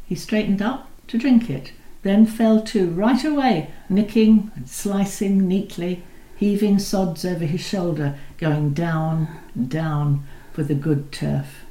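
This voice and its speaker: Brief: woman reader, warm low tone, unhurried and expressive, recorded in an echoey room. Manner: measured, expressive reading, slowing on key lines. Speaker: poetry reader. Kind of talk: poetry recital with spoken introductions. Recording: roomy echo.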